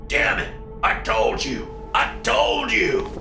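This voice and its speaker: A man's voice: low-pitched, very animated, a little slow, reverberant, music in the background, heavily acted. A man voicing a frightened, tearful middle-aged father, raw and strained, in an audiobook. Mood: pleading.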